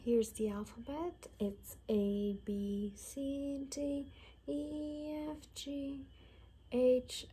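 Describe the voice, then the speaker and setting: A young woman speaking casually in a hushed, half-whispered ASMR roleplay style, close to the mic in a room. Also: on the high side, husky, rough recording. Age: young adult. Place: small furnished room indoors. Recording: noticeable background noise.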